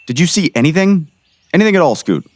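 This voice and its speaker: A man playing a folksy, earnest small-town sheriff in a comic audio drama, animated and urgent. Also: on the high side, quick pace, no echo.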